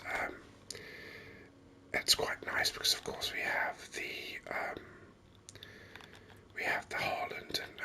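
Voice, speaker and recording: A gaming host whispering ASMR commentary very close to the microphone in a rambling, hesitant way. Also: bright, forceful tone. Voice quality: breathy unvoiced whisper. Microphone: microphone very close to the mouth. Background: voice-over on game screen capture.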